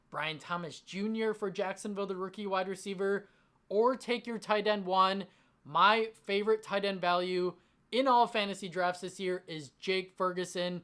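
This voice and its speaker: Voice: mid-range voice, fairly bright tone. Emphatic fantasy football host, slightly strained. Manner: emphatic, enthusiastic about the picks. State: voice slightly strained from long talking. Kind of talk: direct-to-audience sports advice commentary.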